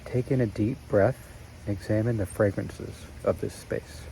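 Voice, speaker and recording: A man narrating a guided meditation in a slow, soothing way with long pauses. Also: on the low side, steady tone, gravelly, warm tone, slight background noise, rough recording.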